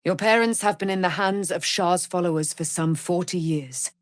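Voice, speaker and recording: A woman's voice: fairly low pitch, moderate pace, no room sound, clean studio sound, lightly acted. An actress voicing a proud, vengeful immortal warrior woman in a fantasy game, with grand, archaic, declamatory delivery. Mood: haughty, measured. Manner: declamatory, archaic, grand, speaks of herself in third person. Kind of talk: dramatic fantasy game acting.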